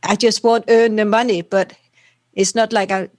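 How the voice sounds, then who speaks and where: A woman's voice: mid-range voice, fairly even intonation, normal speed, darkish tone, no echo. Guest spot: young woman from Denmark, loose rambling talk, dry close mic. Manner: rambling, loose, ends points with tag questions. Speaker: potter guest. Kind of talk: casual interview chat.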